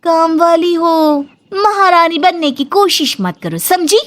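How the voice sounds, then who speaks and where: A woman's voice: very high voice, animated, takes it slowly, full character voice. A woman voicing a blunt, bossy young daughter-in-law in a comic family story. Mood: bossy, mocking. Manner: sassy, blunt.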